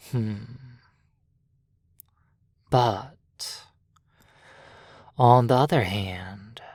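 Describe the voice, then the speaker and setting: A narrator softly telling a sleep hypnosis roleplay story in a breathy voice, deliberately, with long pauses between phrases. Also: mid-range voice, no room sound, clean studio sound. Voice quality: breathy, soft.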